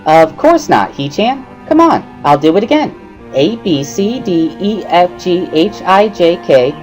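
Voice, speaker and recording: A woman puts on a bubbly schoolgirl tutor from a visual novel, pitched up and swooping with enthusiasm. She is perky and pushy, giggles readily and leans hard on her words. Music plays behind her. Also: big pitch swings, darkish tone. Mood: bossy, excited. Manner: giggly, perky, emphatic, with drawn-out laughs. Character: bubbly, pushy schoolgirl, earnest amateur sign language tutor.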